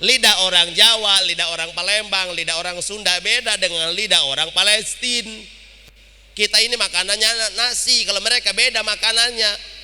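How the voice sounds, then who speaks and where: High bright-voiced joking preacher. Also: male voice, fairly even intonation, moderate pace, smooth. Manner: humorous, teasing, addresses audience directly. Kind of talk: mosque sermon. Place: mosque hall. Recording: long hall reverb.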